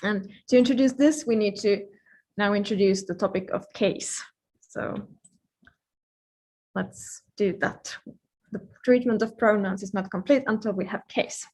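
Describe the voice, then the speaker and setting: A woman who is a native Finnish speaker gives an informal live-coding lecture, thinking aloud with playful asides and pauses mid-thought. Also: mid-range voice, a little mellow, husky. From Finland.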